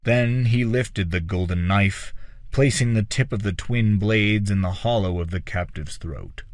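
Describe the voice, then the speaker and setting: A man with a fairly low voice narrates a dark fantasy tale. His delivery is scripted and dramatic, like an audiobook. He phrases things in a measured, theatrical way, drawing out his words. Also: no echo, studio quality.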